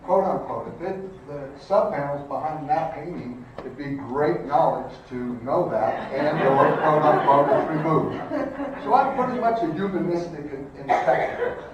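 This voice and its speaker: A man's voice: fairly high pitch, unhurried, muffled recording, crowd noise. An older man speaking earnestly and personally as a home inspector giving a talk to realtors. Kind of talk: conference presentation. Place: hotel conference room, indoors. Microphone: far camera across the room. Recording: echoey room from far camera.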